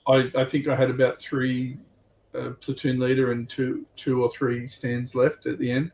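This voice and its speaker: A man's voice: mid-range voice, completely flat delivery, moderate pace, a little mellow, slight background noise, low-quality recording. A man in casual conversation as a guest player on a hobby livestream. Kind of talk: casual chat.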